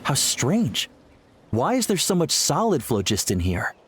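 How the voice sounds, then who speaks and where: A man's voice: fairly high pitch, huge pitch swings, unhurried, fairly bright tone, slight background noise, exaggerated voice. An actor voicing a puzzled, pensive working man in a video game, musing over a problem. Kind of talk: video game English voice acting.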